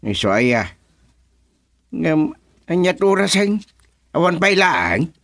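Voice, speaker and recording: A man's voice: fairly high pitch, big pitch swings, slow, fairly bright tone, no echo, studio quality, full character voice. A man with an Ilocano accent playing a grumbling, strict village chairman in a radio comedy drama. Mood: exasperated. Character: exasperated male village chairman, strict about absent officials.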